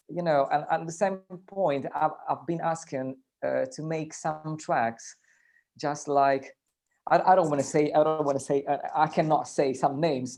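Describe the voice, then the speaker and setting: A man who produces music, talking in a casual panel chat in an earnest, self-deprecating, halting way. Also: fairly high pitch, a little mellow.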